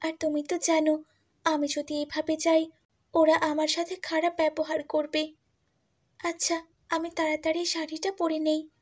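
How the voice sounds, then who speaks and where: A woman's voice: high voice, monotone, a little slow, pauses often, a little mellow. A woman playing a meek, dutiful young stepdaughter in a cartoon fairy tale, speaking politely and eager to please. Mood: wondering.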